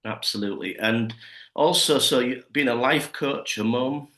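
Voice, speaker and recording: A man's voice: medium pitch, moderate pace, bright, forceful tone. Friendly male minister in echoey room. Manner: friendly, conversational. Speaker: church minister, host. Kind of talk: hosted interview.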